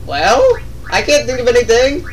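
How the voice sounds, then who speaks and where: A man's voice: very high-pitched, huge pitch swings, moderate pace. A young man doing casual, crude and exasperated game commentary with a friend. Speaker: let's play commentator. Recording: distant mic with background noise. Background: video game sound.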